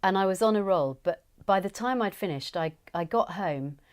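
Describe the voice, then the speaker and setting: A woman in her fifties, an audiobook narrator, chatting in a relaxed studio interview, chatty and self-deprecating. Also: mid-range voice, normal speed. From England. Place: recording studio.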